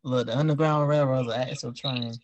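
A man's voice: mid-range voice, steady tone, a little fast, no room sound. A man hosting a casual group interview in an informal, slangy way. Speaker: podcast host and audio drama creator.

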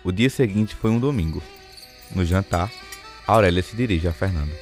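A man's voice: low voice, moderate pace, darkish tone, faint music behind. A Brazilian man narrating a radio soap opera in a scripted, dramatic storytelling style.

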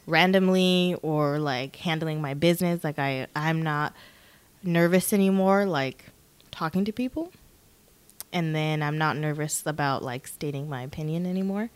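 A woman's voice: sits low, moderate pace, clear, resonant tone. A woman in her mid twenties co-hosting a podcast, talking casually and informally in loose, unscripted phrases. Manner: informal, frequent "like" as filler. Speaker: podcast co-host.

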